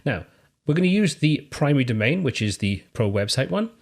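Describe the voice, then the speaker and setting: A man from the UK hosting a screen-recorded tutorial, calmly walking through software steps in a friendly, explanatory way. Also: mid-range voice, a little fast, creaky. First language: English. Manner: friendly step-by-step explaining, lively intonation. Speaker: tutorial host.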